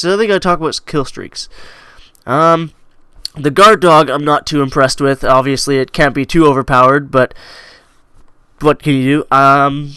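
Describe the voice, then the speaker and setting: Young man, slight cold, casual rambling game review, high-ish voice, smooth tone, dry close mic. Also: fairly bright tone, no room sound. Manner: rambling with many likes and restarts. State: coming down with a cold. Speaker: gaming channel host.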